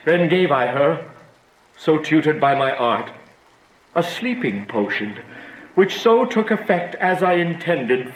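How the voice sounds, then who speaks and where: A high voice of an aged, troubled friar acted by a man rings in an echoey space over faint noise. The delivery is solemn, formal and halting, in the manner of a Shakespearean radio play. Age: older. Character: anguished old friar confessing his part in tragedy. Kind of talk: Shakespearean audio drama acting. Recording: echoey room, audible background hiss.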